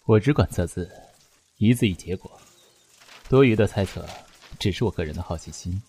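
A man acting as a calm, shrewd, enigmatic fortune teller in a radio suspense drama, speaking in measured, cryptic phrases. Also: low voice, slightly rough, full character voice. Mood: detached, knowing. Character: calm, enigmatic, shrewd male fortune teller who reads written characters.

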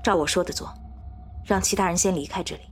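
A woman's voice: on the low side, fast, faint music behind, close to an everyday voice. A woman playing a cool, resolute female leader in an audio drama, speaking with firm authority and protective resolve. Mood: firm, commanding.

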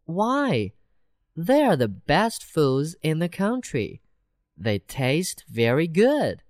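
A humble, cheerful country mouse who is amazed by everything, voiced by a woman reading a storybook. The voice is low and lively in pitch, spoken very slowly, in a close, dry recording. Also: dry recording, exaggerated voice. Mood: welcoming. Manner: slow, wide-eyed, gently excited.

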